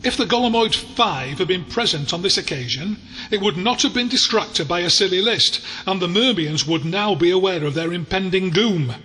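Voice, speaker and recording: A man's voice: high-pitched, animated, moderate pace, pushed and bright. A man playing an arch, wordy, mock-grand narrator of a sci-fi audio tale, in a long reverb. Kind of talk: dramatic scripted narration.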